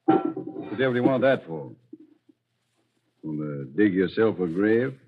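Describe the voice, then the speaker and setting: An actor playing a blunt, nervous captive driver in 1940s radio style, protesting and indignant. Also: male voice, fairly low pitch, animated, a little slow, soft background music. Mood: suspicious. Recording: muffled, noisy old radio recording.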